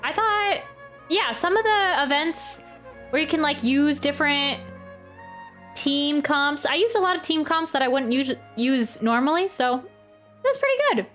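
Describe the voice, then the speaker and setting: A woman's voice: sits high, animated, a little slow, fairly bright tone. A woman livestreaming a video game, giggly and rambling as she chats casually with her viewers. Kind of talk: casual livestream reaction chat. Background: game music.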